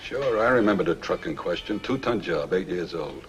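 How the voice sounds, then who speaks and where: Deep-voiced, grumbling trucking boss. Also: male voice, animated, moderate pace. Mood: wistful, resigned. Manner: mournful, sarcastic complaining. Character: grumbling, long-suffering trucking boss, exasperated with his brother-in-law. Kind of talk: 1950s film comic character acting. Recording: noisy, reverberant old film soundtrack.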